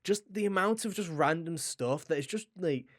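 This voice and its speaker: A man with a somewhat high voice chats casually as a gaming podcast host. He is enthusiastic and rambles from one thought to the next, swearing freely along the way. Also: expressive, normal speed. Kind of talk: casual video game discussion.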